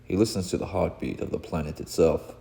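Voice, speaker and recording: A man narrating a comic book read-along in a dramatic, solemn, theatrical way with deliberate pauses. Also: low voice, steady tone, gravelly, dark tone. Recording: long hall reverb.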